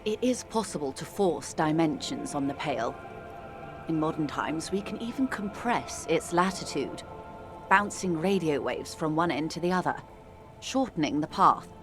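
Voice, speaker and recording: An actress playing a composed, wealthy middle-aged corporate negotiator in a video game, speaking in a measured, articulate, world-weary way. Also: female voice, low voice, animated, music in the background. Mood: grave, explanatory. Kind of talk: video game character dialogue.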